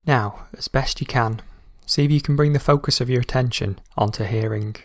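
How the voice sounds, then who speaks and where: A man's voice: medium pitch, dry recording. Man guiding meditation, very dry sound. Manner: calm, measured, instructional. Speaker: meditation guide. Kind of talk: scripted guided meditation.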